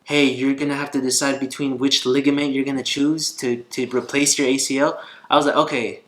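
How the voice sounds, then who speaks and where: A man's voice: mid-range voice, level tone, brisk, fairly bright tone. A man vlogging in a casual, conversational and earnest way, telling a personal story. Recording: roomy echo, faint guitar music behind.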